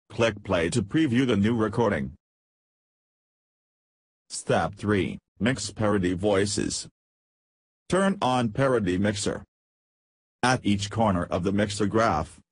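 A man reading scripted software tutorial narration in a measured, step-by-step way. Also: lowish voice, breathy edge, dry recording.

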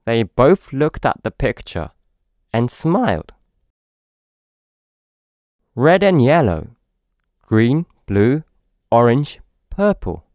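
A man's voice: medium pitch, very animated, slow pace, long pauses, a little mellow, dry recording, studio quality. A man with a British accent reading a children's story aloud, expressively, as if to a young child. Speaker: storybook narrator.

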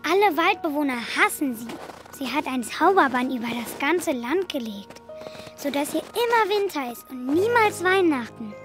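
Little girl, audio drama: very high, earnest, compassionate, trusting. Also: big pitch swings, moderate pace, fairly bright tone. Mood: grave. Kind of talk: German audio drama acting. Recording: music under the voice.

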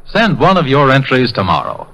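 A man's voice: mid-range voice, huge pitch swings, moderate pace, vocal fry, low-quality recording. A man reading an advertisement as a 1930s radio announcer.

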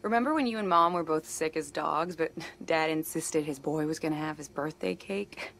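A woman's voice: deep voice, normal speed, creaky, fairly bright tone, slight background noise. A woman playing a worried, stubborn younger sister and young mother in a naturalistic video game scene, plain-spoken and conversational. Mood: amused, nostalgic. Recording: music under the voice.

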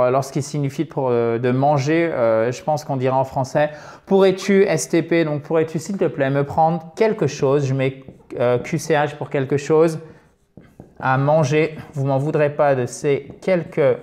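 A lively man in his thirties gives an English lesson in French at a whiteboard. He explains each point step by step and slows down to repeat English example phrases. The sound is close and clear from a lapel mic in an office room. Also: a little high. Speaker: English teacher.

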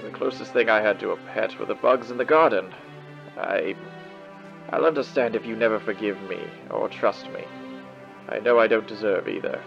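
A man's voice: on the low side, moderate pace, creaky. An actor playing a proud, theatrical male demon with formal, elaborate diction and an old radio filter in a comic dub. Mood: remorseful, halting. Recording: muffled band-limited sound, music behind. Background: music.

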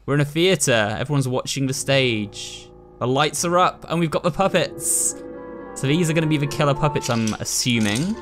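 A British man giving chatty, casual commentary while playing a horror game, addressing his viewers as host. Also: medium pitch. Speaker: gaming video host. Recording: voice over game music. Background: ominous game music.

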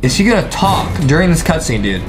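A young American man comments playfully on a video game as co-host. He is excitable, with very animated pitch movement. Music is clearly heard behind him. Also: brisk. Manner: excitable, kid-friendly. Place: small indoor studio, seated beside co-host.

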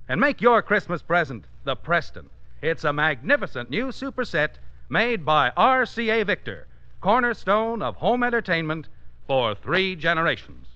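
A man's voice: on the high side, very animated, moderate pace, sharp tone, no echo. A man announcing a 1950s radio show from a script with a polished, emphatic salesman's delivery. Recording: muffled 1950s radio recording, music behind.

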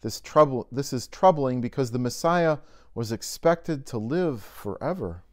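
A middle-aged man reads scripture and a devotional in a deliberate, unhurried voice of middle pitch. He is a pastor, seated in a cozy room indoors and recorded by a camera a short way off. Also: animated, darkish tone. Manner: slow, measured reading aloud from a binder. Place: indoors in a home-style room by a fireplace.

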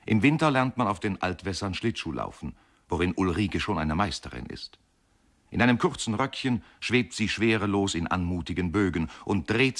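Radio-play narrator: reflective man, low register, measured and expressive literary storytelling. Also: heavily acted. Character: reflective male narrator recalling a riverside boyhood.

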